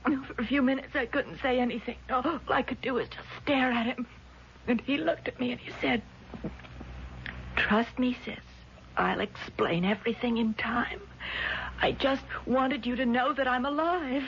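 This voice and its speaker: A woman's voice: high-pitched, moderate pace, creaky. An actress in a vintage radio drama playing a distraught, frightened woman who pleads breathlessly to be believed. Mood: shaken, wondering. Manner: pleading, breathless, emotional repetition. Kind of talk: 1970s radio drama acting. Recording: dull, noisy vintage radio recording.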